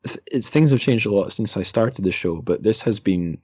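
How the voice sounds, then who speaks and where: A man's voice: fairly low pitch, expressive, normal speed, darkish tone, slightly rough, dry recording. A man hosting a podcast, talking in a casual, conversational interview style with an informal, somewhat hesitant manner. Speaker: podcast host.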